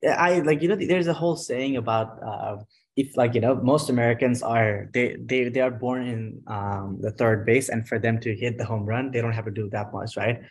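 A man's voice: mid-range voice, moderate pace. Young Nepali man telling his story animatedly. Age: 20s. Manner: animated storytelling with many "like" fillers and restarts. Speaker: guest. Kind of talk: casual interview conversation.

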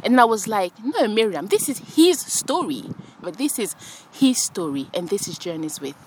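A chatty woman from France hosting a relaxed podcast outdoors. She keeps it loose and conversational, with frequent laughs and fillers, at a middle pitch. A faint hiss of outdoor ambience sits behind her. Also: moderate pace, poor audio. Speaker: podcast host. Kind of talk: casual podcast chat. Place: outdoors, sitting on grass.

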